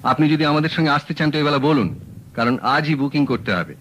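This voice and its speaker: An actor playing a calm, shrewd male detective in a radio drama, talking in a measured, dry, matter-of-fact way. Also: fairly high pitch, poor audio. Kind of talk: 1970s radio drama acting.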